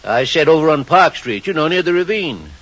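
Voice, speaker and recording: Folksy druggist, animated pitch. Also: male voice, a little fast, slightly rough, heavily acted. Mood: sheepish. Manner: folksy, rambling, sheepish. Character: folksy, apologetic small-town male druggist. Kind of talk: 1940s-50s radio drama acting. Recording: muffled, hissy old radio recording.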